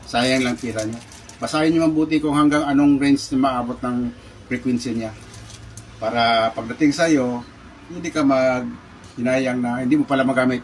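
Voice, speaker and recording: Casual Filipino male vlogger, mid pitch, halting product-unboxing delivery, recorded at a distance with noticeable noise. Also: steady tone. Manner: halting, repeats words, addresses viewers often. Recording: distant mic, clearly noisy background with birds.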